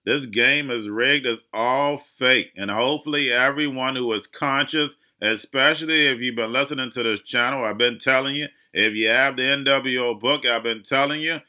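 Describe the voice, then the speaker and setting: A man's voice: medium pitch, bright, forceful tone. A man hosting his own crypto channel, talking urgently and preachily, addressing viewers as "guys" and repeating warnings. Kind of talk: monologue market commentary.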